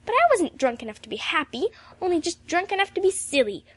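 A woman's voice: very high voice, very animated, fast, a bit bright, pitched above the performer's own voice, heavily acted. A woman voicing a fiery, irreverent teenage girl in a storybook, vehement and theatrical in manner. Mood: indignant.

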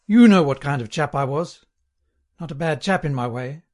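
A chummy, servile party man, as a male narrator puts him on, pitched on the high side. He chats eagerly and earnestly, sententious and sanctimonious, as a character in an audiobook novel. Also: expressive, moderate pace, darkish tone, close to an everyday voice. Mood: pleading, servile.

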